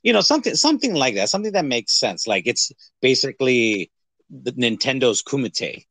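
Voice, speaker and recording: A man co-hosting a podcast in casual movie chat, rambling in an animated, hyperbolic way. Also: medium pitch, a little fast, no echo. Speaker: podcast co-host.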